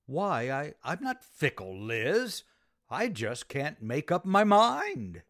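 Suave, excitable bachelor friend, played by an actor: high male voice, wildly animated, emphatic radio comedy style. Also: slow pace, no echo, clean studio sound, exaggerated voice. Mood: wry, lovelorn. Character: suave, excitable bachelor man about town, a sitcom friend. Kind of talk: radio sitcom acting.

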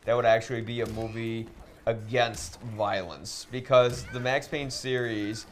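A man's voice: mid-range voice, fairly even intonation, a little slow, a little hiss or hum. A man talking as a podcast co-host in a casual debate, earnest and argumentative.

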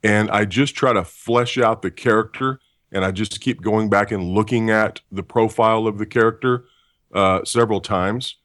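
Man, deep low voice, voice actor, deadpan jokes, dry close sound. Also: moderate pace, no room sound. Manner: dry joking, self-deprecating. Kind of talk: interview answers.

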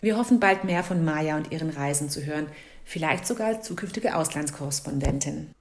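A woman's voice: low-pitched, a little fast, faint music behind. A woman reading out a scripted award laudation as a jury member. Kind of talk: scripted speech, read aloud.

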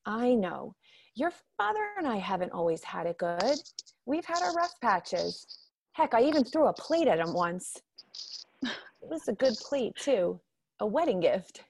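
A woman's voice: mid-range voice, big pitch swings, a little slow, vocal fry, darkish tone, exaggerated voice. A woman acting a caring, fussing mother of a grown daughter in a radio drama. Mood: gentle, earnest.

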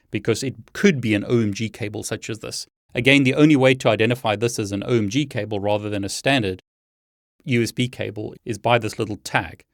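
A man's voice: on the low side, dry recording, studio quality. A man hosting a tech tutorial, explaining a hands-on demonstration step by step. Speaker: tech video host and instructor.

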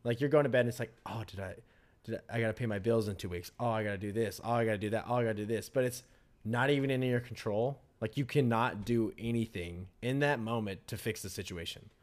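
A man's voice: fairly low pitch, fast. A man co-hosting a casual talk show, rambling loosely with swearing and joking asides. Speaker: podcast co-host. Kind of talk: casual chat.

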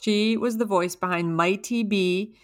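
A middle-aged woman co-hosting a casual chat with her grown daughter, modest and gently wry. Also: mid-range voice, unhurried. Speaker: co-host, mother.

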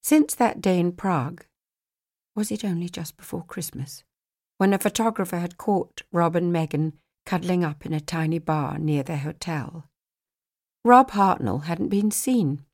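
A woman narrating a novel as a scripted audiobook, with an expressive, measured storytelling manner. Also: on the low side.

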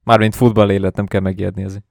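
A man's voice: low voice, flat delivery, gravelly, darkish tone, no echo, studio quality. A man hosting a podcast, talking in a casual, conversational style. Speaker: podcast host.

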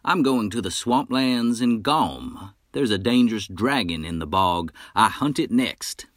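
A man voicing a loud, talkative male wolf hunter who brags in a folksy, brash way, in a storybook reading. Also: lowish voice, expressive, a little slow, no room sound, studio quality, voice pushed up. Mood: boastful.

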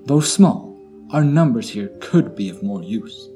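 A man's voice: medium pitch, animated, measured pace, dark tone, faint music behind. A man playing a proud, refined blood elf officer in a fantasy audio drama, speaking formally and articulately. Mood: earnest, resolute.

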